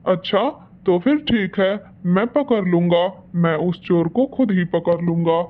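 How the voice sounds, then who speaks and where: A woman's voice: on the low side, unhurried, natural voice. A woman voicing a stern, determined male owl farmer in a children's cartoon, earnest in manner. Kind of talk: Hindi children's cartoon dialogue. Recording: thin phone-like sound.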